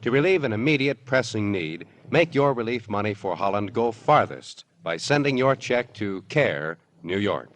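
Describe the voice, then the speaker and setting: A man's voice: fairly low pitch, very animated, normal speed, hardly any pauses, a bit bright, a little hiss or hum. A man announcing in formal, earnest 1950s radio style, reading from a script.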